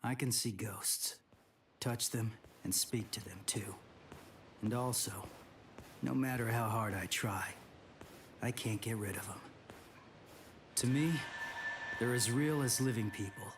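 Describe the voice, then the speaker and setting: An earnest young man , voiced by a male actor, speaks slowly and plainly in an anime game dub. His mid-pitched voice sits over clear background noise. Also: close to an everyday voice. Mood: earnest, brooding. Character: earnest, matter-of-fact young man who sees ghosts. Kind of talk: English-dubbed anime game acting.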